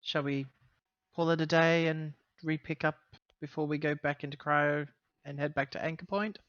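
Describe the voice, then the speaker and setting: A man talking casually as a player in a tabletop roleplaying game, with wordy, deliberate phrasing. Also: fairly high pitch, steady tone, a bit bright, no room sound, lo-fi sound.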